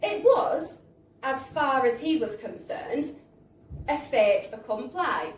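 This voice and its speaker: A woman reading a story aloud live at a venue, with an expressive, theatrical delivery. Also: high voice, takes it slowly. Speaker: reader of a book excerpt. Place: live book launch in a bar. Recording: echoey live venue, distant mic, faint noise.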